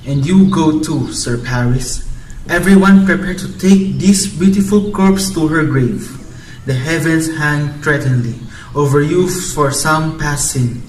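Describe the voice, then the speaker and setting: A man's voice: fairly high pitch, a little hiss or hum. A man playing a stern, consoling friar in an amateur student radio drama. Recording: echoey, distant mic, music behind.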